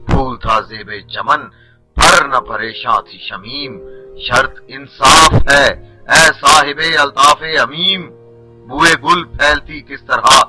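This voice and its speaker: A man giving a dramatic recitation of Urdu poetry in a measured, declamatory way, with emphatic swells and hushed line endings. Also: mid-range voice, low-quality recording. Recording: soft music bed under the voice. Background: soft background music.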